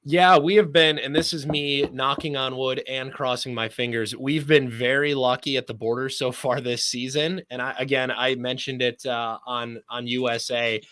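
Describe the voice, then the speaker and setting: A man's voice: medium pitch, steady tone, normal speed, hardly any pauses, a bit bright, no room sound. A man speaking as a sports announcer guest in a conversational podcast interview, talkative and emphatic.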